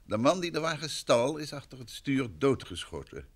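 An actor playing a courteous, assured gentleman investigator in an old radio drama, polite and measured. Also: mid-range voice, sing-song. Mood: serious.

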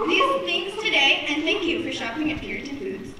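A woman playing a deadpan supermarket announcer, giving a formal store announcement in an amateur stage comedy. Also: high-pitched, noisy background. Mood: mock-formal. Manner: slow, formal announcement delivery. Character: deadpan female supermarket announcer reading specials. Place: theatre. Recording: very echoey hall, distant, laughing audience.

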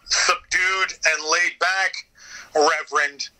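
Bright, higher-pitched American man chatting. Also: fairly even intonation, slow pace, low-quality recording. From United States. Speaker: voice actor, interview guest. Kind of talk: casual anecdotal interview chat.

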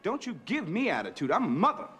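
An actor playing a brash, pushy man bluffing his way as an important surgeon in a film comedy, loud and argumentative. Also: sits high, wildly swooping pitch, fast, soft background music, full character voice. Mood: indignant.

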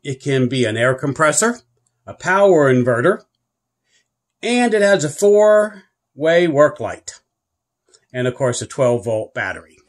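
A man's voice: on the high side, very animated, measured pace, long pauses. A man giving a casual product review and demonstration in a plain-spoken, earnest way, repeating himself for emphasis. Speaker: product reviewer and channel host. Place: carpeted room indoors.

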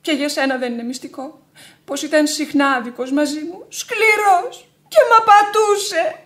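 An actress playing a proudly devoted, stubborn young widow in radio theatre, theatrical, lamenting and imperious. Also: female voice, high-pitched, a bit bright. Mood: defiant grief. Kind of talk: Greek radio theatre acting.

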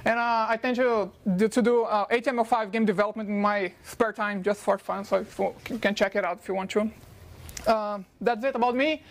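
Fast-talking Brazilian man giving a tech talk. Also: high voice, steady tone. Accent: Brazilian Portuguese. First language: Portuguese. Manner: fast-talking with frequent uh fillers. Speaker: conference speaker. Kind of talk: technical conference talk. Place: lecture hall.

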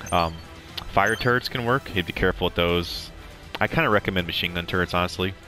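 A man's voice: deep voice, fast, bright, forceful tone. A young man giving casual, rambling commentary over a game walkthrough, with plenty of ums and restarts. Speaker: gameplay commentator. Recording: game music playing under the voice. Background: game music.

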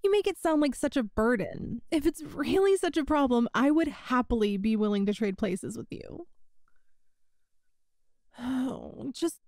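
A woman plays a young woman who loves to tease, speaking one side of an intimate roleplay conversation. Her voice sits high and she takes her time over each line, conversational and playful. The sound is close and dry, with no room around it. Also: lively intonation, measured pace, darkish tone, no echo. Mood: teasing. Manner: teasing, giggly, conversational. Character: playful teasing young woman, best friend's older sister. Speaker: audio roleplay voice actor.

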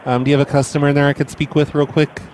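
A man doing prank phone calls as a mall Santa, in a deadpan, crude, mock-serious way. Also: on the high side, racing, slight background noise. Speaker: prank call host.

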